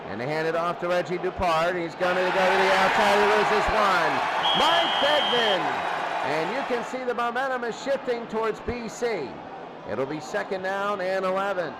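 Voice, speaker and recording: High-voiced, excitable football announcer, man. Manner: excitable, rising to shouts on big plays. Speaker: play-by-play announcer. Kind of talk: live American football commentary. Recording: loud crowd and music behind. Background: crowd noise and music.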